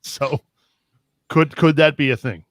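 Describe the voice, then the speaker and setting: A man's voice: a little high, fairly even intonation, unhurried. A man co-hosting a soccer podcast, thinking aloud hesitantly with fillers and floating ideas as questions. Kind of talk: casual chat.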